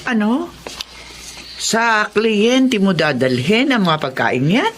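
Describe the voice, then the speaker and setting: A woman's voice: medium pitch, expressive, slow pace, background noise. An actress playing an anxious, loving adoptive mother and wife in a Tagalog radio drama. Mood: curious, concerned.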